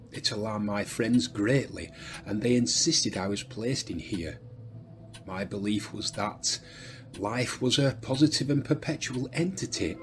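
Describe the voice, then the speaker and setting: Male actor as asylum lunatic, lowish voice, eerily polite, abrupt, radio drama. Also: a bit bright, slight background noise. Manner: formal, abrupt, shifting from polite to agitated. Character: unsettled male asylum lunatic, courteous but eerie.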